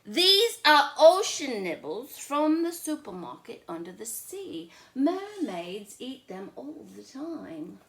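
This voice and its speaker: Woman playing an animated storybook big brother. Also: sits high, slow pace, hardly any pauses, pitched above the performer's own voice, exaggerated voice. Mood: persuasive. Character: persuasive, easily astonished boy, storybook big brother.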